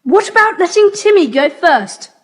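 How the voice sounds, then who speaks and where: A woman playing an eager, inquisitive British child adventurer in a children's audio drama, animated and emphatic. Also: extremely high pitch, normal speed, full character voice. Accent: British English. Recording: faintly hissy cassette tape.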